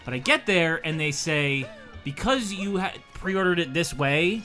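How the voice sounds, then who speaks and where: A man's voice: on the high side, animated, a little slow, pushed and bright, soft background music. A man co-hosting a gaming livestream, chatting casually and telling anecdotes over the gameplay. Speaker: livestream co-host.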